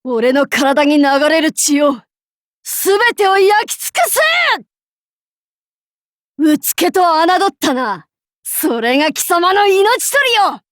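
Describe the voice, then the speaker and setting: A woman playing a brash, cocky young feudal warlord in an anime game, with rough, masculine, archaic and boastful speech. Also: high-pitched, expressive, slow, fairly bright tone, dry recording, studio quality, exaggerated voice. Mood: blazing fury. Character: brash, cocky young feudal warlord, self-styled fool, fiercely loyal. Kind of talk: anime game character voice acting.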